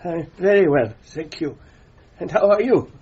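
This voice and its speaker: A very hoarse, high-pitched man in a radio play, a beaten prisoner with bitter irony. His speech is weak and halting, each word politely sardonic. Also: lively intonation, dark tone, lo-fi sound, heavily acted. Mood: weak, ironic. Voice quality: husky, weak. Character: battered, delirious German male prisoner, bitterly ironic. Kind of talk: radio drama acting.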